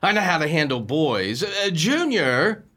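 A man's voice: fairly high pitch, very animated, unhurried, a bit bright, exaggerated voice. An actor playing a bumbling middle-aged working-class father in a radio comedy, stammering and folksy, easily flustered. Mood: flustered persuading. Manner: stammering, folksy ungrammatical speech. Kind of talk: old-time radio situation comedy acting.

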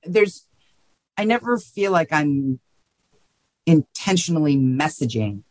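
A woman's voice: low-pitched, big pitch swings, slow, darkish tone, dry recording. A woman answering interview questions as a guest writer, thoughtful and informal, often checking in with the listener. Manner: thoughtful, informal, peppered with you know and right.

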